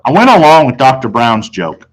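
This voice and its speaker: A man's voice: medium pitch, very animated, moderate pace, rough recording. American man reading a children's story aloud. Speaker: teacher reading aloud to class. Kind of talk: audiobook-style read-aloud of children's novel, first person.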